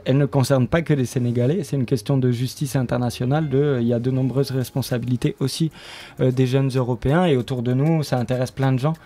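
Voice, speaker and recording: A man being interviewed on radio as a drama writer, explaining his work in a halting, unscripted way. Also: mid-range voice, mellow. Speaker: guest, radio drama writer and studio member.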